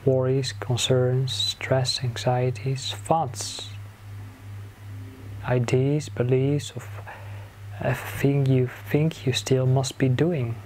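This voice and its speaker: A man leading a guided meditation in a soothing manner, giving gentle instructions in short phrases with long pauses between them. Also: mid-range voice, little pitch movement, poor audio. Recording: clear background noise, ambient sound.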